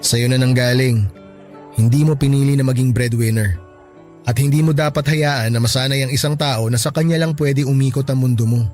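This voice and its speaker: A man's voice: mid-range voice, darkish tone, natural voice. A man with a Filipino accent playing a level-headed young friend who gives earnest, reassuring advice in a Tagalog radio drama.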